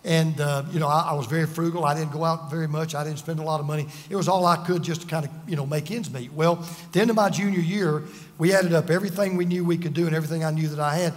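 High-voiced man preaching in a reverberant hall. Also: steady tone, quick pace. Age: over 50. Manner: earnest, emphatic, self-deprecating humor. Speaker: pastor. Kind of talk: sermon with personal stories. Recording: long reverb of a large hall.

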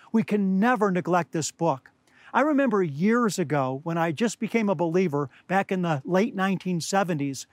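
An older man preaching an expository sermon in an earnest teaching manner, his voice slightly hoarse, recorded outdoors at high altitude. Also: no room sound. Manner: earnest, teaching, addresses listeners as beloved. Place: outdoors at high altitude among pine trees.

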